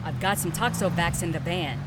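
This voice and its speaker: A woman playing a brisk, practical sidekick in an audio drama. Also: fairly low pitch, natural voice. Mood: brisk, wry. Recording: noisy background with car ambience.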